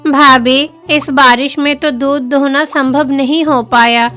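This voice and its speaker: Gentle village wife in a cartoon, high and slow. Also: female voice, steady tone, soft background music, exaggerated voice. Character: kind poor village wife, gentle and patient.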